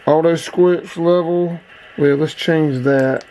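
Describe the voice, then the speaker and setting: Male reviewer, slightly high-pitched, casual and plain-spoken hands-on host. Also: steady tone, takes it slowly, warm tone, a little hiss or hum, low-quality recording.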